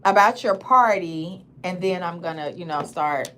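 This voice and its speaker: A woman's voice: lowish voice, unhurried, sharp tone, slight background noise. Chatty woman hosting a livestream. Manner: chatty, asks questions and reads comments. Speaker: livestream host. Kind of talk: casual livestream interview.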